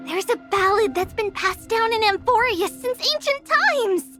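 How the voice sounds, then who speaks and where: Childlike high priest, very high and slow. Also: female voice, expressive, loud music behind the voice. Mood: thoughtful. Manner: grave, formal, speaks of herself as "we". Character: ancient high priest in a young girl's body, solemn.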